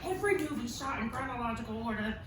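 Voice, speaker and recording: A woman playing an eager, lecherous sixty-year-old man with drawn-out, excitable delivery in a comedic table read. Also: a little high, natural voice. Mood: earnest, stubborn. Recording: noisy, very echoey room, far off.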